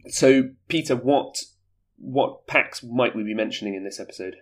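A man's voice: medium pitch, moderate pace. A man hosting a casual podcast chat, sounding a little congested from a cold and explaining things at length. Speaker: podcast host.

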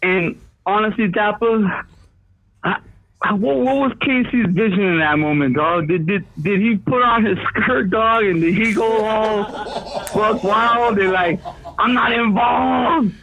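A man's voice: high-pitched, measured pace, creaky, pushed and bright. A man calling in to a show, chatting casually in slang and rambling a little. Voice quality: slangy, rambling, laughing asides. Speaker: caller. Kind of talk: casual chat over phone. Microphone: phone call. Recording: tinny phone line.

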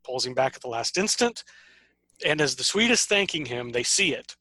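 A man's voice: medium pitch, very animated, moderate pace, sharp tone, no echo. A man co-hosting a casual podcast, summarizing a story and playfully teasing his co-host. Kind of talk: casual book summary and chat.

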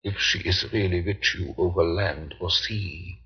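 An actor playing a grave, commanding doctor who hunts vampires, formal and urgent in old-time radio drama style. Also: male voice, deep voice, fairly even intonation, unhurried, breathy edge, full character voice. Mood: grave, probing. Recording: muffled, grainy old radio recording.

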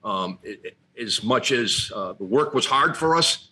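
A man co-hosting a podcast, speaking in an emotional, halting way about an old comrade. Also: mid-range voice, expressive, pushed and bright, husky, rough recording. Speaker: podcast co-host. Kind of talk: conversational tribute.

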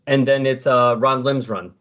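A man's voice: medium pitch, steady tone, normal speed, fairly bright tone, lo-fi sound. A man hosting a podcast in a casual, conversational style. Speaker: podcast host. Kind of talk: casual chat about comic books.

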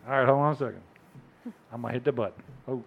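A man's voice: mid-range voice, rapid-fire, vocal fry, phone-quality sound, a little hiss or hum. A man hosting a casual podcast chat, joking and teasing his co-hosts with crude humor. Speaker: podcast host.

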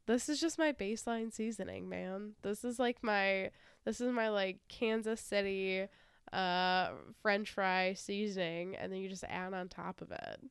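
A woman's voice: mid-range voice, fairly even intonation, unhurried, smooth, no echo, clean studio sound. A woman co-hosting a casual podcast, a little nasal from a sinus infection, chatty, laughing often and swearing casually. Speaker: podcast co-host.

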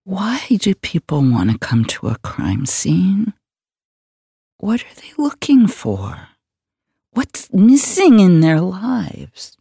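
An actor as a dark-voiced, slow, weary detective. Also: male voice, sits high, creaky, husky, dry recording, clean studio sound, heavily acted. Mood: wearily scornful. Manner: deadpan, brooding. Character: world-weary, morose older male police detective, reflective. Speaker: police detective. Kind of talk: noir monologue, recollection.

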